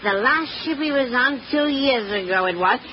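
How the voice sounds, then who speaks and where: A woman's voice: high-pitched, expressive, normal speed, slight background noise. Blunt old English landlady, muffled radio. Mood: forthcoming. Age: older. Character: blunt, grumbling old lodging-house landlady called Ma. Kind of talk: 1940s radio acting.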